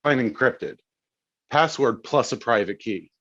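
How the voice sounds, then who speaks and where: A man's voice: mid-range voice, measured pace, a bit bright, highs cut off, lightly acted. An actor playing an evasive, defensive wealthy man at a screenplay table read, hesitant and stammering. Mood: guarded.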